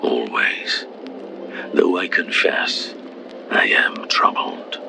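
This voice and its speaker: An actor playing a humble, lovestruck titan in a fantasy audio drama, speaking with hesitant, breathy earnestness. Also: male voice, very slow, sharp tone, whispered, heavily acted. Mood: troubled, earnest. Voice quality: very deep, breathy, gravelly. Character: gentle, lovestruck male titan, humble and shy.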